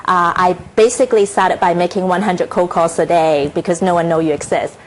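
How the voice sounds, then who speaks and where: A woman's voice: lowish voice, steady tone, a little hiss or hum. A middle-aged woman from Hong Kong, with a Hong Kong accent, talking earnestly as a TV interview guest with many fillers and restarts. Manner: earnest, halting, frequent fillers. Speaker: author and inspirational speaker.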